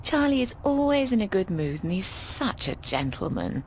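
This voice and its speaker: A woman's voice: mid-range voice, sing-song, moderate pace, slight background noise, close to an everyday voice. An actress playing an affectionate, frank, middle-aged British boarding-house landlady in a video game. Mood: fond, teasing. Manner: affectionate, frank, cheeky, often calls people darling.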